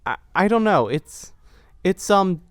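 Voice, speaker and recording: Young guy, smooth mid voice, slow and earnest, keeps restarting his words, super dry recording. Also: sits high, expressive, no echo. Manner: halting, searching, repeats words, earnest. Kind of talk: reflective interview talk about a production.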